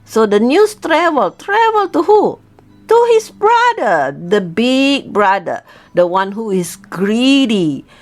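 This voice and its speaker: A woman's voice: on the high side, big pitch swings, slow. A woman telling a story as a teacher, in simple, repetitive non-native English, often checking in with short questions. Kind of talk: animated storytelling lesson for learners.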